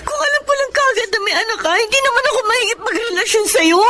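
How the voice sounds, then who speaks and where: Very high-voiced heartbroken young woman. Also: animated, fast. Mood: reproachful. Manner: tearful, reproachful. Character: heartbroken, trusting young shopgirl betrayed by her boyfriend. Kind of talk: Tagalog radio drama acting. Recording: radio drama with background music.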